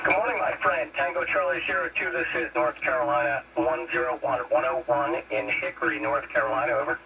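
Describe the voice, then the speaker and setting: American male radio hobbyist, fifties, creaky voice, folksy and formal on air, heard through a noisy CB transmission. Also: mid-range voice, steady tone, fairly quick, bright, forceful tone. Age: 50s. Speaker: CB radio operator. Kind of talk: casual two-way radio chat. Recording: noisy CB radio signal, distant.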